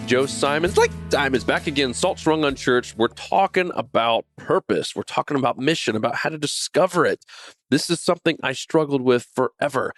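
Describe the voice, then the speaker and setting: A man in his forties talks straight into a close, dry microphone. His pitch sits in an ordinary middle range, and his delivery is earnest and conversational. He often stumbles and repeats words while hosting a casual faith talk. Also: no room sound. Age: 40s. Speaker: podcast host.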